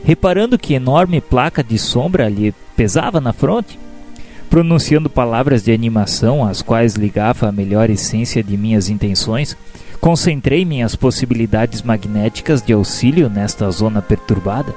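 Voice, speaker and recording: Actor as humble spirit apprentice, mellow mid-pitched male voice, solemn formal narration, earnest, radio drama, faint music. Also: expressive, moderate pace, natural voice. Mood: solemn, reflective. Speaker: narrator and protagonist. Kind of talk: dramatized first-person scripted narration. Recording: soft music behind narration.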